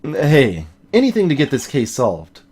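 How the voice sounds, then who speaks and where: A woman's voice: rumbling low, wildly swooping pitch, normal speed, a little mellow, a little hiss or hum, pitched below the performer's own voice, full character voice. A woman voicing an earnest young male defense lawyer with a hesitant, stammering, dry delivery. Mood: awkward.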